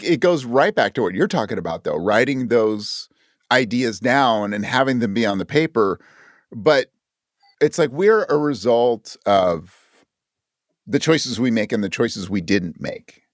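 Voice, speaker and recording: A man's voice: mid-range voice, animated, measured pace, no echo, clean studio sound. A man talking as an interview guest in a casual, reflective way, thoughtfully rephrasing as he goes. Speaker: interview guest, audio drama creator.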